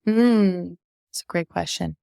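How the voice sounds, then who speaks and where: A woman's voice: fairly low pitch, unhurried, gravelly, mellow, smooth, no echo, clean studio sound. A woman who learned another language first, chatting candidly in an interview as a guest and laughing at herself. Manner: candid, self-deprecating, with frequent fillers. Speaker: guest violinist and entrepreneur. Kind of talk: casual interview chat.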